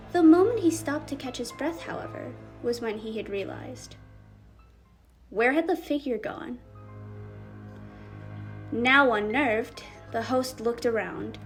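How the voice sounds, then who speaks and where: A woman's voice: on the high side, lightly acted. A woman playing a teasing bar storyteller who narrates a spooky Halloween tale in a theatrical, suspenseful manner. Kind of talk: dramatic ghost-story narration.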